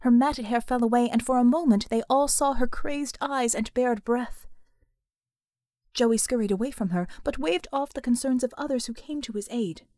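Female audiobook narrator, higher register, scripted fiction, very dry recording. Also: normal speed, warm tone, no room sound.

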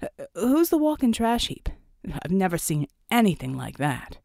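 A man's voice: high voice, very animated, moderate pace, vocal fry, darkish tone, dry recording, clean studio sound, heavily acted. A woman voicing a tough, wry American soldier man with a folksy, clipped, informal way of talking. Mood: casual, curious.

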